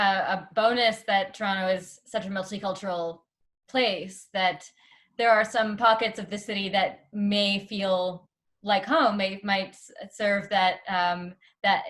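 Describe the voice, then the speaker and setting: Woman interviewer with halting questions. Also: mid-range voice, steady tone, a bit bright. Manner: halting, self-correcting questions.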